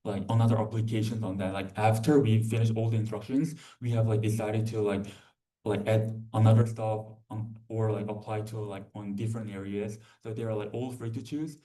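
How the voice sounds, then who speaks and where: Young male student presenter, dark low voice, hesitant and filler-heavy, picked up from a distance. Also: little pitch movement. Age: young adult. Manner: halting, repetitive, heavy use of "like". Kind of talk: informal conference presentation. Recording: distant microphone.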